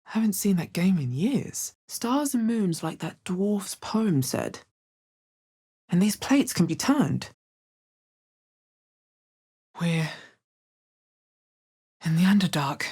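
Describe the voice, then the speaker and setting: A woman playing a wry, resolute, watchful adventurer heroine in a video game, with a deep, husky voice and a measured, understated delivery. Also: very animated, warm tone, full character voice. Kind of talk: video game character voice lines.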